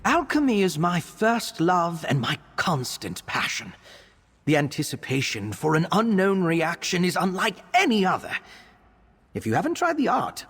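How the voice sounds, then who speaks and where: A man playing an earnest, bookish young male vampire alchemist in a video game, speaking in an articulate, expressive way. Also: a little high, slightly rough, long reverb tail, heavily acted. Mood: eager, wondering. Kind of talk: video game character voice acting.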